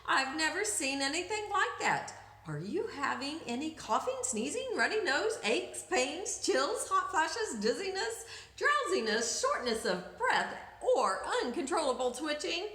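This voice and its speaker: A bumbling male storybook doctor, as a woman reader puts him on, speaks in a high, animated voice. He is puzzled yet brisk and emphatic. The room rings with echo. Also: full character voice. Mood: astonished, probing. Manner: exclaiming, mumbling, listing symptoms emphatically. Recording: echoey room.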